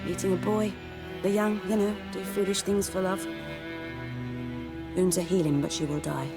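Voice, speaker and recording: An actress playing a cool, bitter and knowing village herbalist in a fantasy game, measured and wry. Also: female voice, mid-range voice, fairly even intonation, natural voice. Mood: somber. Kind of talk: video game voice acting. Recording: music behind the voice. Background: music.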